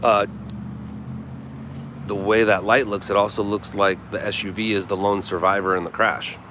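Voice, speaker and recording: A man hosting a live stream, chatting casually with viewers. He has a deep voice that carries. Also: level tone, normal speed. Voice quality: deep, carrying voice. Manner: offhand, chatty, thanks viewers by name. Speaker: livestream host. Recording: noisy outdoor street recording.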